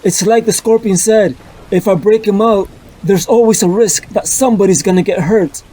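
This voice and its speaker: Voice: mid-range voice, moderate pace, dark tone, lightly acted, low-quality recording. A performer voicing a young male superhero who is earnest, apologetic and set on a hard choice, in a fan dub of a motion comic. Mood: resolute. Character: young male superhero, guilt-ridden and determined.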